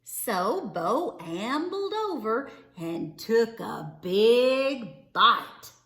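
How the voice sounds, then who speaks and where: A woman reading a children's storybook aloud expressively, with dramatic, drawn-out emphasis on words. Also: a little high, very animated, a bit bright. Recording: echoey, roomy sound.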